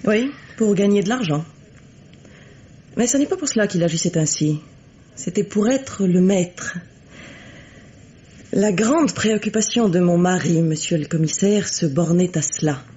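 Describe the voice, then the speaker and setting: An actress playing a composed, coolly bitter middle-aged widow in a French radio mystery play, speaking formally and deliberately. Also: female voice, lowish voice, warm tone, natural voice. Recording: dull, lo-fi vintage radio recording.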